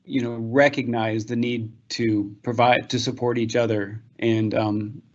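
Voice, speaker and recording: A man's voice: medium pitch, flat delivery, unhurried, lo-fi sound. A man moderating a panel, politely inviting a guest to share her experience.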